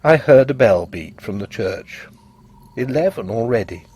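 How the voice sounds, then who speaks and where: A man narrating a gothic literary tale in an expressive, measured and dramatic audiobook style. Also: medium pitch, slightly rough.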